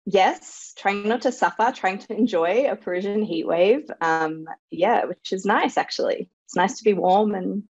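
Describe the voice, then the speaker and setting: A woman's voice: mid-range voice, normal speed, few pauses, a little mellow, breathy edge, lo-fi sound. A woman who grew up in Australia, whose first language is Serbo-Croatian, chatting casually as a guest author, laughing easily and using lots of fillers. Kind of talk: casual interview chat.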